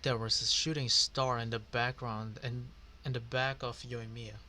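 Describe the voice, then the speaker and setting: A man's voice: medium pitch, flat delivery, normal speed, pushed and bright. A man casually reacting while he plays a video game, with brief offhand remarks. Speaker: gaming video creator.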